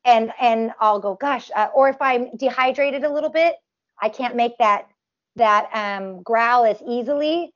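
A woman's voice: on the high side, a little slow. A woman with a nasal, slightly raised voice talks in long, looping runs. She stops and restarts her sentences often. The sound is a little dull and muffled. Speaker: tribute performer guest. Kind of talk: casual interview chat.

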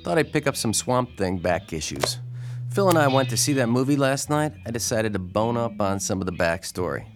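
A man's voice: medium pitch, sing-song, moderate pace, over music. A man playing a friendly, easygoing young comic book fan in a radio drama, chatty and enthusiastic.

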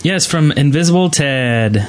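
A man's voice: fairly high pitch, measured pace. A man hosting a gaming podcast in an informal, conversational style. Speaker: podcast host. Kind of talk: casual chat about gaming.

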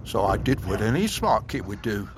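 An actor playing a very old English man with a London accent, telling his life story in a measured, theatrical, grave way for an audio drama. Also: fairly low pitch, big pitch swings, noisy background. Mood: grave, reminiscing. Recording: music behind the voice.

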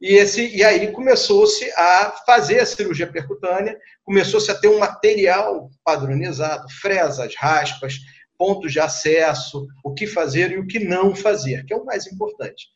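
A man's voice: high-pitched, animated, moderate pace, dull audio. A middle-aged Brazilian man with a Rio de Janeiro accent, recounting and explaining conversationally as a guest surgeon, repeating words and adding tag questions. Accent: Rio de Janeiro Brazilian Portuguese.

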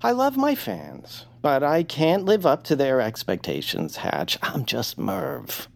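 A woman's voice: a bass voice, huge pitch swings, slow, gravelly, heavily acted. A woman voicing a fussy, earnest, timid male peepkin who loves books, in an audio drama. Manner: fussy, earnest, soft-spoken.

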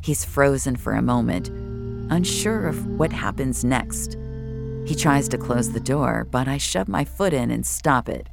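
Gloating serial killer, first-person thriller narration, very low pitch, slow delivery, menacing, fry-laced. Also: female voice, animated, over music, heavily acted. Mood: cold, gloating. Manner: measured, menacing. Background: dramatic score.

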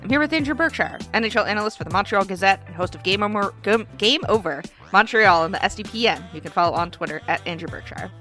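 A woman's voice: medium pitch, expressive, fast, hardly any pauses, bright, forceful tone, soft background music. A woman co-hosting a casual hockey podcast, congested and stuffy, chatting with plenty of fillers. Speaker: podcast co-host. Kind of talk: casual sports podcast chat.

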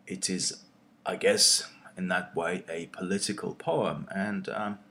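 A male poet speaking in a thoughtful, deliberate way, with pauses between short phrases. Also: deep voice, a bit bright.

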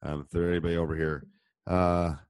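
A middle-aged man with a deep voice, a little hoarse from a cold, explaining basketball coaching in a casual live session full of ums and restarts. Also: flat delivery, a little fast, darkish tone, no room sound, studio quality. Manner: conversational, many ums and restarts. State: has a cold. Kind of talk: live online coaching session answering questions. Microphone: handheld mic during live stream.

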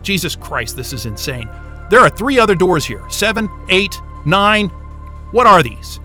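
Casting: irate male astrophysicist, sci-fi radio play. Sharp, high-pitched, sarcastic, swears freely. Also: big pitch swings, unhurried, faint music behind, full character voice. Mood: exasperated. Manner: exasperated, sweary, incredulous. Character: angry, sarcastic, foul-mouthed male astrophysicist. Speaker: astrophysicist character in audio drama. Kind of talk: naturalistic sci-fi audio drama acting.

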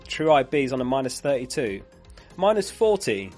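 Hoarse man chatting on a live stream. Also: mid-range voice, sing-song, moderate pace, a little mellow, faint music behind. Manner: chatty, reads out viewer comments and laughs. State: losing his voice. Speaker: fantasy football streamer.

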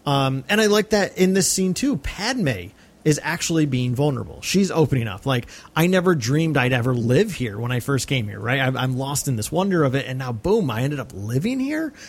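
An American man co-hosting a podcast, talking casually and reflectively about film, effusive and often halting to repeat phrases. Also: medium pitch, animated. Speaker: podcast co-host.

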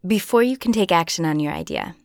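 A woman from Minnesota hosting a podcast, narrating a script in an earnest, measured way. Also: fairly low pitch, lively intonation. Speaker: podcast host.

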